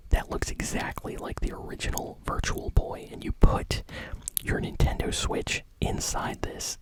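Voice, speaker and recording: A woman hosting a gaming news show in a soft, breathy ASMR whisper, speaking in a casual, unhurried, fan-like way. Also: very high-pitched, low-quality recording. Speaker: host of a gaming news channel.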